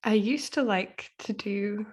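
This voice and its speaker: A woman speaking casually as an interview guest, tentative and halting as she thinks aloud. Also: mid-range voice, darkish tone. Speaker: podcast guest, author.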